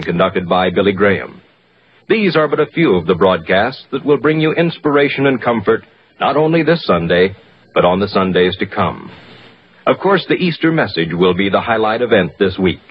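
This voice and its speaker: A man's voice: on the low side, slightly rough. A man reading a scripted public service announcement as an old-time radio announcer. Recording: muffled, faintly noisy old radio recording.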